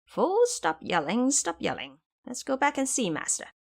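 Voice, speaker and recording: A woman's voice: high-pitched, sing-song, normal speed, creaky, voice pushed up, exaggerated voice. A woman voicing a clever, boastful male monkey warrior in an audio drama, brash and proud in manner. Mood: amused, dismissive. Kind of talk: audio drama character acting.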